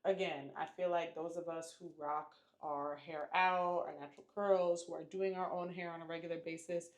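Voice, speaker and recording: Woman, hair-care vlogger, low register; casual and upbeat, repeats words for emphasis; echoey home room. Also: little pitch movement, moderate pace. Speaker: natural hair YouTuber. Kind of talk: casual product review vlog.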